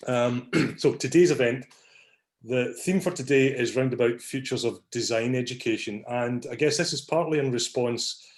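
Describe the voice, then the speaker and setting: Man, meetup host, video call, casual, relaxed, lots of ums, mid pitch. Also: moderate pace. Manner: relaxed, many ums, apologetic. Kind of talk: casual online meeting talk.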